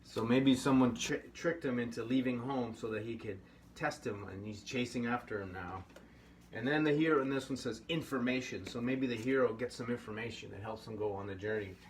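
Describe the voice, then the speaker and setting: A man's voice: mid-range voice, a little fast. A middle-aged man teaching a casual puppetry workshop at a table, easygoing and encouraging, often rambling. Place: indoor studio room at a table. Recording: slightly distant mic, faint room hum.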